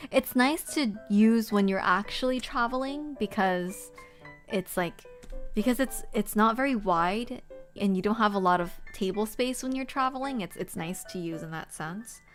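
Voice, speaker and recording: Chatty woman streaming with faint music. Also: mid-range voice. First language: Korean. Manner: chatty, rambling, laughs often. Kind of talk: casual livestream chat while organizing.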